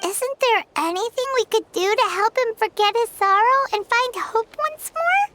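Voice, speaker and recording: A tiny, squeaky-high girlish companion, eager and hopeful, her pitch leaping around. Faint game ambience hums underneath. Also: animated, moderate pace, sharp tone, slight background noise, full character voice. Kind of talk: game cutscene voice acting.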